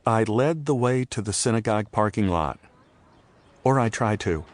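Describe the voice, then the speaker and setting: An older man giving a scripted, reflective first-person narration for an audio drama, in a measured storytelling manner. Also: on the low side, expressive, no echo. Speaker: narrator and playwright telling his own story.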